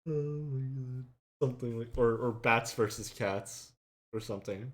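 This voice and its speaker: A man's voice: mid-range voice, slow. Male host, casual banter, laughs a lot. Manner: joking, laughs often. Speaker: streamer and podcast host. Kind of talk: casual chat.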